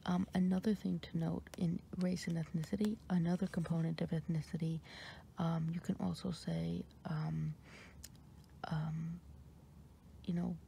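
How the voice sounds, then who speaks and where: A woman from New York with an American accent, softly whispering an ASMR ramble with many fillers and pauses. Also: flat delivery, vocal fry, a little hiss or hum. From New York, USA. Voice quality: deep voice for a woman. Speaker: ASMR creator.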